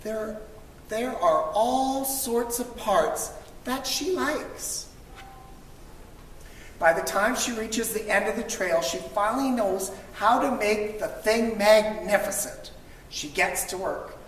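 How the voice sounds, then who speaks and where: A woman reading a children's picture book aloud to school pupils, expressively, with dramatic emphasis and drawn-out words. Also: medium pitch, animated. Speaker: storybook reader for school children. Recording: distant mic, echoey reverberant room, faint noise.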